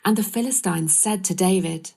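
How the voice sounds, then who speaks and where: A woman's voice: medium pitch, normal speed, vocal fry, mellow, slightly rough. A woman narrating a scripture story from a script.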